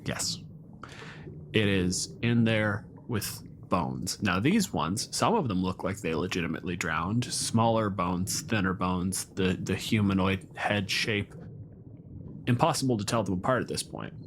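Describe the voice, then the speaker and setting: A man's voice: low voice, lively intonation, a little slow, noisy background. A man working as game master, narrating a tabletop game in a dramatic, descriptive way. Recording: music behind the voice.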